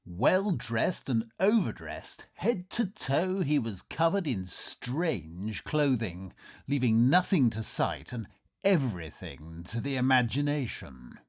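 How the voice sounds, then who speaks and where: A man's voice: mid-range voice, very animated, slow. Man acting a swooping, theatrical Victorian nobleman. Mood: wondering, suspenseful. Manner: melodramatic, genteel, wordy. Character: curious, polite Victorian nobleman narrating his eerie adventure. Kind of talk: theatrical radio drama narration and dialogue.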